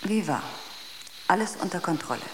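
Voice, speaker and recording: Female ship's computer, played by an actress: low register, clinical, precise, argumentative, radio play with noisy sound. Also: expressive, moderate pace, natural voice. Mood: dryly argumentative. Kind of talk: radio drama acting.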